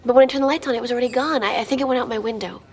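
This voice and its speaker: High-voiced, insistent young heroine. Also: female voice, rapid-fire, a little mellow, breathy edge. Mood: uneasy, insistent. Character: unsettled young heroine insisting on what she saw. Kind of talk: television drama dialogue. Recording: TV show clip with faint noise.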